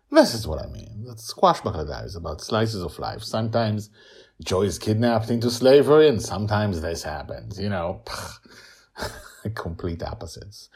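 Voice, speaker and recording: A middle-aged man hosting his own story podcast, talking personally with dramatic emphasis and a husky voice. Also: deep voice. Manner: animated, dramatic emphasis, chuckles while talking. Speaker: podcast host, author and storyteller. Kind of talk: personal commentary and scripted storytelling.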